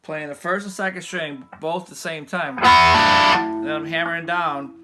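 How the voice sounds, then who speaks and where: A man with a fairly high voice giving a casual guitar lesson in a loose, rambling way. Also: fairly even intonation, unhurried. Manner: loose, rambling, restarts often. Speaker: guitar teacher. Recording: guitar playing loud behind him.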